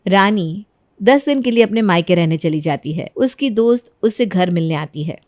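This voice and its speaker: A woman's voice: medium pitch, animated, normal speed, a little mellow, no echo. A woman narrates a scripted story. The recording is dry, with hardly any room sound around her voice.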